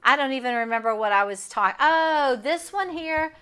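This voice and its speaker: A woman runs a live online trading lesson, talking through lines on a chart in a loose, chatty way. Her voice sits a little high and sounds bright and forward. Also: animated, moderate pace, smooth. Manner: chatty, explains while pointing at a chart. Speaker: trading instructor. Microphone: microphone first left off, then turned on.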